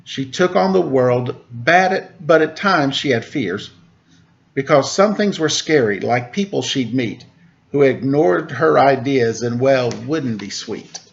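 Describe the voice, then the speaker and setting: A man, a teacher, reading a rhyming picture book aloud to children in a lively way and asking them questions. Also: medium pitch, measured pace, vocal fry, muffled recording. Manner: lively rhyming delivery with rising questions to listeners. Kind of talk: storybook read-aloud to children.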